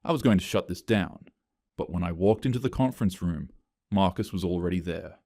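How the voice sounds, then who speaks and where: A man narrating a scripted first-person story with an even, flat delivery. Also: low-pitched, a little fast, no echo, studio quality.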